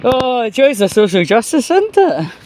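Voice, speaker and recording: Slow, high-voiced man ranting casually. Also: sing-song, a little mellow. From United Kingdom. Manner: rambling, halting, sweary. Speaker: host of his own commentary vlog. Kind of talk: casual opinionated news commentary.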